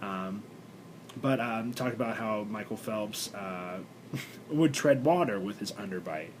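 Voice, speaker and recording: A young man with a congested, stuffed-up voice, talking haltingly in a personal video diary with many fillers and restarts. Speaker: vlogger. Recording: noisy recording with low rumble.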